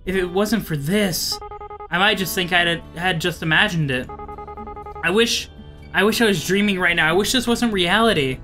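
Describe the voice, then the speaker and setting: A man's voice: high-pitched, normal speed, pushed and bright. A man voicing a weary, anxious driver in an apocalypse visual novel, somber and hesitant. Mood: anguished. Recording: music behind the voice.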